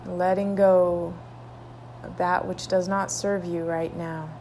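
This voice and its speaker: Slow, mellow woman guiding meditation. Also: fairly low pitch, flat delivery, a little hiss or hum. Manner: soothing, drawn-out phrases with long pauses. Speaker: meditation guide.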